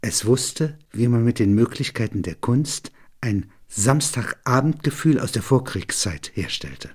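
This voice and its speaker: A man narrates literary material in the role of reader and commentator. His voice sits at a middling pitch and has a somewhat husky, unpolished grain. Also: expressive, a little slow, a little mellow.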